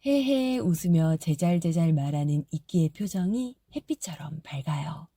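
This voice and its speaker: A woman narrating a fairy tale audiobook in a soft, gentle storytelling manner. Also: low voice, expressive, moderate pace, slightly rough, dry recording.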